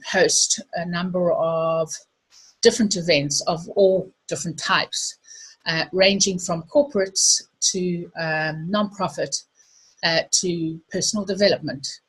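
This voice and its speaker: A middle-aged woman with a South African accent speaks into a computer mic on a video call, hosting an online presentation. Her voice sits a little low and sounds husky. She talks casually and hesitantly, with many ums and restarts. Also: a bit bright, poor audio. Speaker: webinar host.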